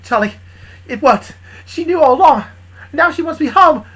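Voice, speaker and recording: A woman's voice: high voice, lively intonation, moderate pace, a bit bright, pitched above the performer's own voice. A woman voicing an earnest, lovestruck young man in a story, gushing and eager. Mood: elated.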